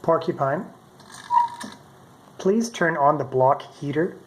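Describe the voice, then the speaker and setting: A man's voice: fairly high pitch, slow pace, over music. A middle-aged man casually narrating a demonstration as a hobbyist maker. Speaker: maker presenting his homemade robot.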